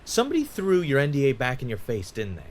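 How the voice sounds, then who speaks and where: An actor playing a suspicious, insistent podcaster in a naturalistic audio drama, pressing and probing for a hidden truth. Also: male voice, medium pitch, wildly swooping pitch, fast talker, a little hiss or hum. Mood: pressing, accusing.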